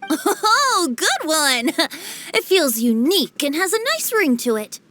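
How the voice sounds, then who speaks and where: A woman's voice: high-pitched, sing-song, takes it slowly, pushed and bright, slight background noise, heavily acted. A woman playing a playful, whimsical young male bard in a video game dub, with a breezy, theatrical, teasing delivery. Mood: delighted.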